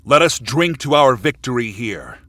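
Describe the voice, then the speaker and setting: A man's voice: mid-range voice, sing-song, moderate pace, a bit bright, no echo, voice pushed up. A man voicing a sinister, fanatical warlock cult leader who preaches in a grandiose, ritual way for a fantasy audio story. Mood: solemn, commanding.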